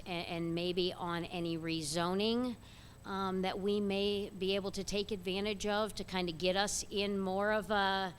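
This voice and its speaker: A woman who manages a resort speaks casually at a community meeting's open forum, hedging often. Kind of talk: public comment at a meeting. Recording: echoey room with loud rumble.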